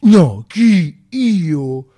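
A man's voice: sits high, sing-song, very slow, warm tone, husky, pitched above the performer's own voice, full character voice. A man playing an evasive young Roman grandson who stammers and protests in a stage monologue. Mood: indignant denial. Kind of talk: theatrical monologue acting.

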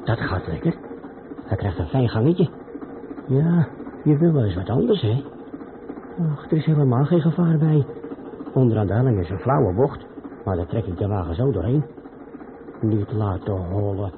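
Man acting, reckless truck driver, radio play, narrow muffled band, clearly noisy. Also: mid-range voice, lively intonation, normal speed, long pauses, close to an everyday voice. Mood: cocky, carefree. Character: reckless younger truck driver talking to himself, turning panicked.

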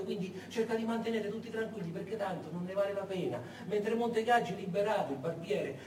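A man performing a dramatic theatrical monologue, emphatic and deliberate, repeating words and leaving heavy pauses. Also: high voice. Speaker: solo stage storyteller. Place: echoey performance space, far from the mic. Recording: echoey, noisy, distant stage recording.

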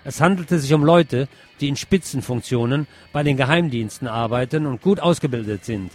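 A man narrating a scripted text, reading it aloud in a measured, report-like way. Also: mid-range voice, darkish tone. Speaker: narrator reading a translated interview.

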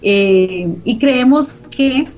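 Dull-sounding woman interview guest, highish voice. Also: lively intonation, very slow, darkish tone. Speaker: communications center representative, interview guest. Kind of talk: explanatory radio interview. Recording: dull, lo-fi radio audio.

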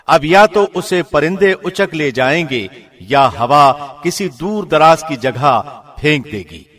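A man with a fairly high voice reads scripture translation aloud. His delivery is formal and measured, in the declamatory manner of a radio presenter. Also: lively intonation, fairly bright tone. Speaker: translation reader, radio host. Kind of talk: scripted religious narration. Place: studio.

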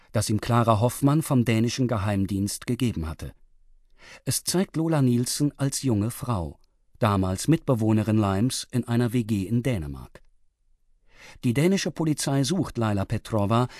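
Guy narrator, mid pitch, measured factual story recap for a radio thriller, dry studio. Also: a little mellow, no echo. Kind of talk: scripted recap narration for a radio crime drama.

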